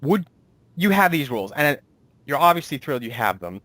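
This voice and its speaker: A man's voice: a little high, sing-song, fairly quick, sharp tone, dry recording. A man hosting a casual, chatty interview in an enthusiastic, reactive manner. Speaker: interviewer, host.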